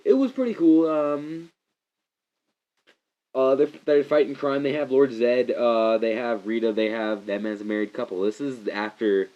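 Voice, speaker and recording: A young man with a stuffy, congested voice gives a rambling, excitable movie review, often restarting his sentences. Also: medium pitch, measured pace. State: congested from a cold. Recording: slightly muffled lo-fi recording.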